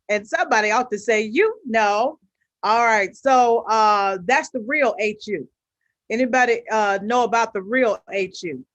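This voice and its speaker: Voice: mid-range voice, a bit bright, no room sound. An upbeat host leads an online interview over a video call. The sound is dry and close, and the host keeps the talk playful with call-and-response.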